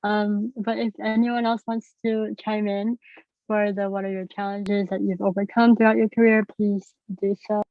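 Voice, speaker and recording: A woman's voice: mid-range voice, level tone, normal speed, no room sound. A young woman moderates a panel over a video call and talks hesitantly, filling gaps and repeating herself. Her audio sounds muffled and dry, with no room to it. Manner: hesitant, lots of ums and repeats. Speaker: student moderator.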